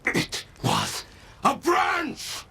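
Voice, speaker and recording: A man playing a grumpy, proud old warlord in a video game, curt and disdainful, with a gravelly, raspy voice. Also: extremely high pitch, huge pitch swings, extremely slow, bright, forceful tone, raised voice, full character voice. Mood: scornful irritation. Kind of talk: video game cutscene acting.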